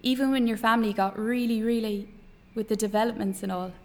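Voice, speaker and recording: A woman's voice: a little high, fairly even intonation, brisk, natural voice. A woman reading aloud from a novel as an intense, insistent and chatty young Irish woman. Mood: earnest, chatty.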